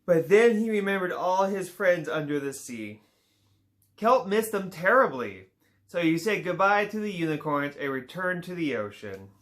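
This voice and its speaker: A man reading a children's picture book aloud in a relaxed way, with small slips and playful asides. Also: high voice, moderate pace. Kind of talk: read-aloud children's story.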